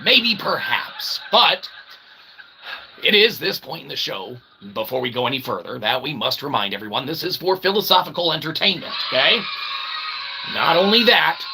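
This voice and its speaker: Animated higher-pitched man, mock-grand show host. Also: moderate pace, creaky, noisy background. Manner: mock-grand showman, repeats "okay" as a tag. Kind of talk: rambling comedic monologue to livestream audience.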